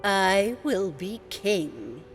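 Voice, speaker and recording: A woman's voice: mid-range voice, big pitch swings, extremely slow, faint music behind. A woman playing a haughty, ambitious fisherman's wife in a fairy tale audio drama, imperious and insistent. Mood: demanding. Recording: long hall reverb.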